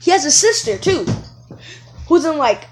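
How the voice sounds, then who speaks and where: A woman telling casual stories to viewers in an animated, rambling way, often wandering off and correcting herself. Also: high voice, moderate pace. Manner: animated, digressive, self-correcting. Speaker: video creator telling stories.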